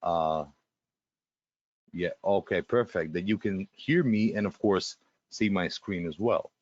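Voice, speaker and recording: A man's voice: low voice, slow pace, a little mellow, dull audio. A man with a Russian accent hosting a live webinar, chatty and full of fillers and restarts as he talks to the attendees. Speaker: webinar host.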